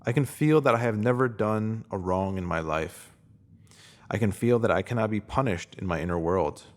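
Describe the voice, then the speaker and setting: Man, lowish voice, calm measured reading, reflective spiritual essay. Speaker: reader.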